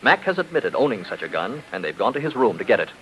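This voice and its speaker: A man's voice: on the low side, sing-song, quick pace, crowd noise, poor audio. A man announcing on 1940s radio, polished and emphatic as he sells and narrates. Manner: polished, emphatic sales delivery. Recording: vintage 1940s radio broadcast, music behind.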